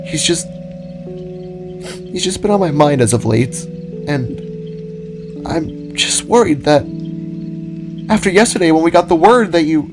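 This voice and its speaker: A man voicing a distressed young female wolf in a story, anxious and protective, with halting, stammering delivery. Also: fairly high pitch, lively intonation, music in the background, pitched above the performer's own voice. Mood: sad, anxious.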